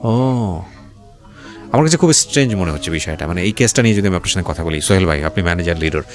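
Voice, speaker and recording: A Bangladeshi man hosting an interview in a fairly low voice at a quick clip. He questions his guests informally and keeps prodding for detail, with faint music underneath. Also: lively intonation. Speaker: radio show host interviewing guests. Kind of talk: probing conversational interview.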